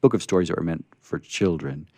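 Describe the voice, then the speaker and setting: A man hosting a radio storytelling show in casual, unscripted chat, rambling and halting in a folksy way. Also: low-pitched, gravelly, a little mellow, dry recording.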